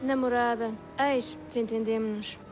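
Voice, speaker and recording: Woman playing a flat-toned, curt ex-girlfriend, European Portuguese. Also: on the high side, normal speed, faint music behind, close to an everyday voice. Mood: curt, hurt. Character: curt, sore ex-girlfriend passing by. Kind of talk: dramatic comic dub.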